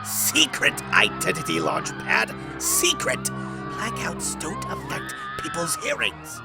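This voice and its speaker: A man's voice: very high-pitched, huge pitch swings, slow, over loud music, exaggerated voice. A man playing a pompous, grandiose masked duck hero past his prime, in an amateur cartoon comic dub. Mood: exasperated. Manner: theatrical, grandiose declamation.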